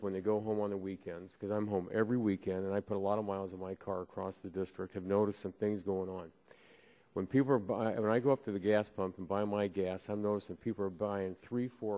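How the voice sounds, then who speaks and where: A man from Ohio speaking as a congressman at a committee hearing, halting often with filler pauses, at a desk microphone in a hearing room. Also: on the low side, warm tone.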